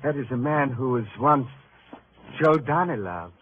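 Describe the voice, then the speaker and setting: An actor playing an eccentric crime photographer, a vain and morbidly rapturous artist, in a theatrical, savoring manner for a 1950s radio drama. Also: male voice, medium pitch, natural voice. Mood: matter-of-fact. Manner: theatrical, savoring, halting with excitement. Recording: tinny, faintly noisy old radio recording.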